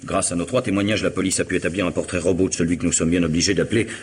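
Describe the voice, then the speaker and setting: An actor playing a rational, authoritative lawyer friend, measured, articulate and firm, in a French radio mystery drama. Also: male voice, low-pitched, steady tone, husky. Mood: explanatory.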